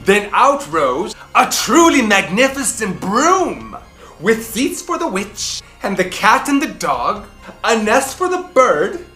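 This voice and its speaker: Woman, children's storyteller, slow deliberate delivery, theatrical emphasis, very varied intonation, roomy echo. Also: medium pitch, very animated, pushed and bright. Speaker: children's book club host. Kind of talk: expressive read-aloud storytelling for children. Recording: roomy echo, faint music behind.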